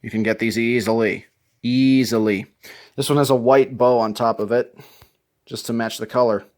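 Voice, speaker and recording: A man's voice: mid-range voice, steady tone, normal speed, darkish tone. A man presenting his hobby collection in a casual show-and-tell style, talking from home.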